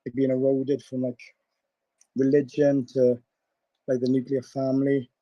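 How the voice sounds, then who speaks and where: A man with a sore throat and a hoarse voice, rambling in casual chat and peppering his talk with filler words. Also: medium pitch, completely flat delivery, a little slow, dark tone, no room sound, dull audio. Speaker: caller in an online audio chat room.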